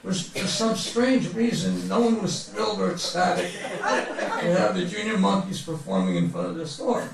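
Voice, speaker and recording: Male author at a live reading, gravelly edge, comic mock-grandiose storytelling, recorded far from the mic in a reverberant space. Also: sits high, animated, normal speed, crowd noise. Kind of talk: humorous memoir reading to a live audience. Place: bookstore reading event before an audience. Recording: very echoey room, distant mic.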